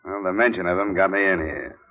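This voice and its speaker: A man's voice: low-pitched, steady tone, fast, slight background noise. A man playing a tough, wry private investigator in a 1950s radio detective drama, clipped and sardonic, narrating his case. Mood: cool, wry. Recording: dull old radio recording with music.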